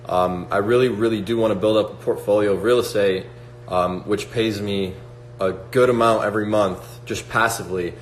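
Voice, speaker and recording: A man's voice: on the low side, steady tone, normal speed. A man in his twenties hosting a casual livestream, talking to viewers in a motivational way with lots of "like" and "right?". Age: 20s. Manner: motivational, reads out chat questions, many fillers like "like" and "right?". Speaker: livestream host. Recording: echoey room with faint hum.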